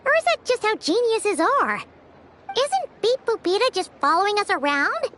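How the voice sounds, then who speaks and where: A woman voicing a small, excitable, girlish fairy companion in a video game, chatty, whiny and theatrical. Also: very high voice, normal speed, a little hiss or hum, full character voice. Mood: grumbling.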